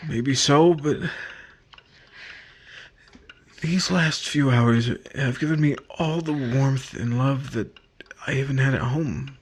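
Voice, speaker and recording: A man playing a lovestruck, unhappily married man in a 1950s-style radio drama, earnest and halting, with a husky voice. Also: medium pitch. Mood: tender, earnest.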